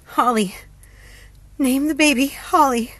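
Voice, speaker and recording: A woman's voice: high voice, unhurried, sharp tone, dry recording, voice pushed up. A woman voicing a pregnant younger sister in agony and terror, panting and crying out through gritted teeth. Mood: agonised.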